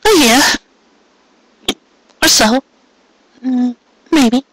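A storyteller voicing a distressed, devoted young female pony who pleads in halting, stammering phrases. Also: sits high, fairly bright tone, dry recording, pitched above the performer's own voice, exaggerated voice. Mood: anxious, hopeful.

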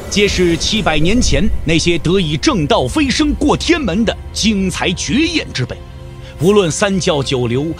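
A man giving dramatic scripted narration for an audio drama, theatrical and emphatic. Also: high-pitched.

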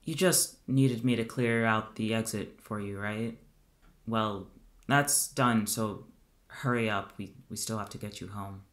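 A man's voice: lowish voice, a little slow, fairly bright tone. An actor as an exasperated, sarcastic young man. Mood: subdued. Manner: sardonic, scolding. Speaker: voice actor in an audio drama. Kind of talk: naturalistic audio fiction podcast acting.

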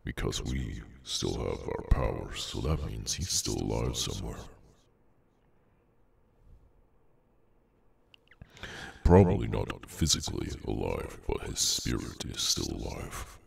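A man voicing a cursed, inhuman henchman in an ASMR roleplay, bored, sardonic and menacing, with an unhurried dry delivery. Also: very animated, distant mic, full character voice. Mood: calm menace. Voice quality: very deep, gravelly. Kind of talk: ASMR audio roleplay, slow dramatic monologue.

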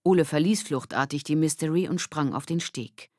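A woman's voice: low voice, normal speed, darkish tone, no room sound, clean studio sound. A woman reading a children's novel aloud as scripted audiobook narration.

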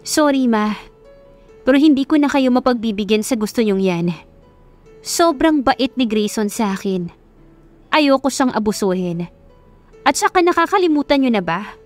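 A dutiful young wife, voiced by a woman narrator in an audio love story. She sounds earnest and wounded, with an apologetic manner and a fairly high, expressive voice. Soft music runs behind her. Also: moderate pace, long pauses, natural voice. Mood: pleading, firm. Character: gentle, hurt young wife, dutiful daughter.

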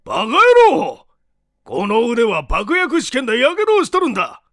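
Man acting a cantankerous old professor, animated pitch. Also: moderate pace, no echo, clean studio sound, heavily acted. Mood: explosive anger. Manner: gruff, blustering, curt. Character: cantankerous, eccentric older male professor who scolds. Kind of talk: audiobook character acting in Japanese mystery story.

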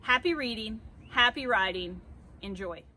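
Bright, slow-speaking woman outdoors with background noise. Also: fairly high pitch, lively intonation. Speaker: children's read-aloud presenter. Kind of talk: presenting and reading a picture book. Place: outdoors in a home driveway.

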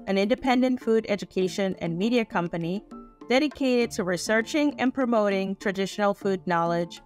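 A woman podcast host reading a guest introduction in a steady, even manner over a video call. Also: mid-range voice. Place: small home room. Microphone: headset on video call.

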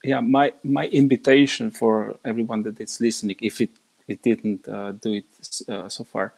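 Gravelly-voiced man haltingly searching for words. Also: on the low side, fairly even intonation, a little mellow. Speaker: guest singer. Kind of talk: video call conversation. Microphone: laptop webcam on a video call.

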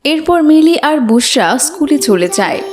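A woman's voice: high voice, very animated, measured pace, over loud music. A woman reading scripted narration for a children's moral story.

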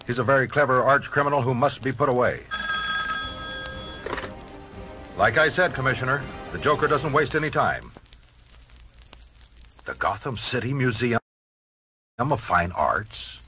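A man's voice: mid-range voice, animated, normal speed, pauses often, sharp tone. An actor playing a grave, authoritative male superhero crimefighter in a record radio drama, speaking formally and in clipped phrases. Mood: grave, businesslike. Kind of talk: radio-drama acting on a children's story record. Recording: vintage story record with dramatic music.

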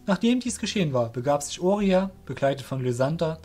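A man's voice: a little high, sing-song, fairly quick, darkish tone. Male fantasy narrator over music. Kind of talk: scripted fantasy audio drama narration.

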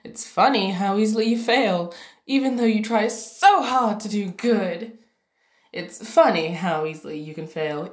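A woman acts out a scheming cartoon princess with a medium-pitched voice. She is haughty and condescending, a salty diva who stretches her intonation up and down. The recording has a clear room echo. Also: natural voice. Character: manipulative, salty diva cat princess, female villain.

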